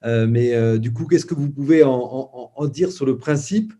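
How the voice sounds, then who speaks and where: A man's voice: medium pitch, moderate pace, warm tone. A man interviewing guests, asking hesitant questions full of fillers.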